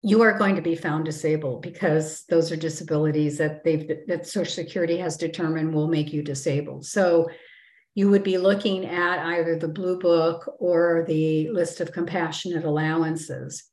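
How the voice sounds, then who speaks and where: A woman's voice: sits low, steady tone, moderate pace, a little mellow. A middle-aged woman presenting and explaining in an informal, conversational way, walking through personal examples with frequent ums. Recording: echoey room.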